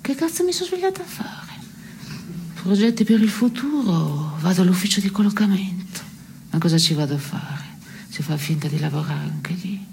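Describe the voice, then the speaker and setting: An actress playing a disenchanted, caustic woman in absurdist stage dialogue, delivered deadpan. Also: lowish voice, normal speed, gravelly, slight background noise. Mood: weary, sardonic. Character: disenchanted, caustic woman sparring with her male partner. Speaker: stage actress performing a two-person play.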